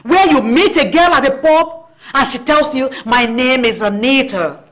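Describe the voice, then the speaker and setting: A woman's voice: high-pitched, measured pace, fairly bright tone. A domineering mother. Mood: scolding. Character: domineering wealthy mother, middle-aged, furious. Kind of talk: melodrama acting.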